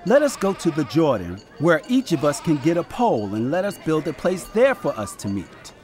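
Man doing a high, eager young follower, music underneath. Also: wildly swooping pitch, normal speed, darkish tone.

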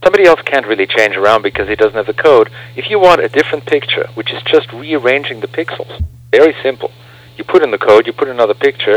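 A man's voice: mid-range voice, rough recording. An earnest man, a guest on a radio interview, explaining his views through rhetorical questions. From Austria.

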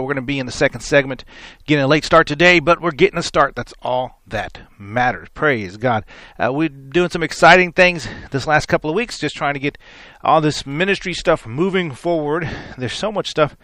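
Hoarse, raspy male radio preacher. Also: a little high, bright, forceful tone, no echo. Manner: rambling, repeats words, folksy preaching. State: hoarse from many interviews. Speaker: radio show host and minister. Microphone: studio microphone.

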